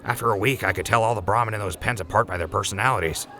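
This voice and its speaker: A man's voice: on the low side, racing, sharp tone. A man playing an easygoing young rancher who jokes with his crew, in a fan audio drama. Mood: laid-back. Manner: laid-back, joking.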